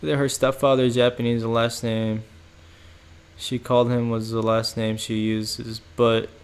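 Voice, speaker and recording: A young man talks loosely on a home livestream, stringing thoughts together with lots of "like" and "bro" and breaking into laughs. His voice sits in a middle pitch with a gravelly, creaky edge. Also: level tone, moderate pace. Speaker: streamer. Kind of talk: casual livestream chat reacting to chat.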